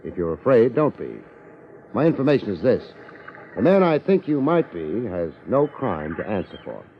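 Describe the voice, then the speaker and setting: An actor playing a wry, hardboiled American private investigator, wisecracking drily in a 1950s radio detective drama. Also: male voice, on the low side, big pitch swings, moderate pace, slight background noise. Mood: brisk, steadying. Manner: wisecracking, dry, sardonic. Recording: muffled 1950s radio recording.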